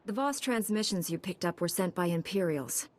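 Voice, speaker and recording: An actress playing a calm, direct young heroine knight in a video game cutscene. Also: female voice, lowish voice, normal speed, close to an everyday voice. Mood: steady, concerned.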